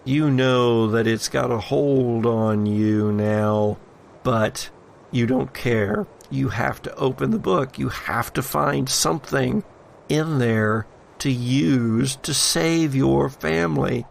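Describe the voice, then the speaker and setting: A man working as a game master, narrating tabletop horror in a deliberate, suspenseful way with drawn-out pauses. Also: medium pitch, vocal fry, slight background noise.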